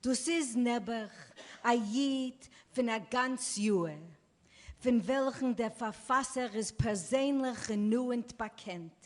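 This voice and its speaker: A woman with a fairly high voice performs a literary reading very slowly and dramatically. Her voice rings through a long, reverberant hall from the stage. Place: live event on stage.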